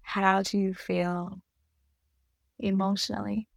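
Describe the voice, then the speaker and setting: A woman guiding a meditation in a soothing, gentle manner, giving unhurried instructions with long pauses between short phrases. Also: mid-range voice, level tone, vocal fry, no room sound, studio quality. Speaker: meditation guide. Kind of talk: guided meditation narration.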